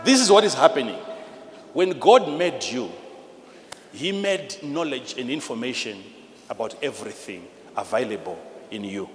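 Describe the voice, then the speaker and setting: A man with a Zimbabwean accent preaching a sermon in an emphatic, repetitive, teacherly manner, swinging between hushed and loud delivery. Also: medium pitch, sing-song, moderate pace. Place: large church hall with PA. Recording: live in a large reverberant hall, noisy.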